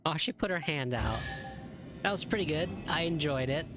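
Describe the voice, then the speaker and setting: A woman's voice: sits low, animated, moderate pace. A woman giving casual reaction commentary as she streams a video game. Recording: game music behind the voice. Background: game music.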